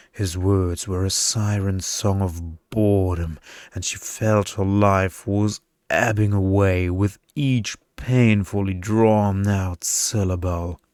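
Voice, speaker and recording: Very slow, smooth-voiced man narrating. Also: sits low, a little mellow, no room sound, clean studio sound. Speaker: story narrator. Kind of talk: slow ASMR storytelling for sleep.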